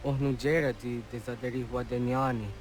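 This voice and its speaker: A man's voice: medium pitch, fairly even intonation, fairly quick, darkish tone, lightly acted. An actor playing an earnest young village man in a video game cutscene.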